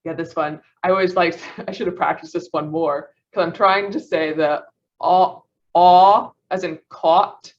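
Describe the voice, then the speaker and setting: Slow, hesitant woman presenting on a dull call. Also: fairly low pitch, steady tone. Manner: hesitant, restarts, laughs at herself. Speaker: student presenter. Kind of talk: class presentation.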